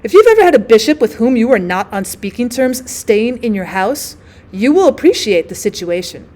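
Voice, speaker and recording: A woman's voice: on the high side, very animated, moderate pace, darkish tone. A woman voicing a genteel, indignant, drily witty lady who tells her mishaps in a wordy, emphatic way for a story reading. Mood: drily haughty.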